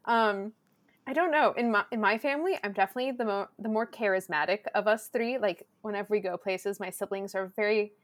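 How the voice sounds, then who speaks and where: A woman from Southern California, a podcast guest chatting casually and acting out quotes in a loud, lively way. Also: mid-range voice, a little fast. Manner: loud, animated storyteller who acts out quotes.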